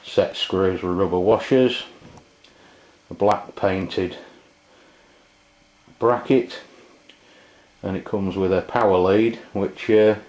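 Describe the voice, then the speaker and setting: A man reviews a product off the cuff. His delivery is halting and informal, feeling its way from point to point. The sound is dull and lo-fi, like an amateur video. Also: sits low, steady tone, a little mellow. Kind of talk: casual unscripted review.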